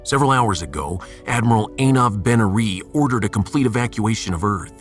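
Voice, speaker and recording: A man playing a resolute starship captain in a sci-fi audio drama, commanding, earnest and measured. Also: lowish voice, lively intonation, faint music behind, lightly acted. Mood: solemn, rallying.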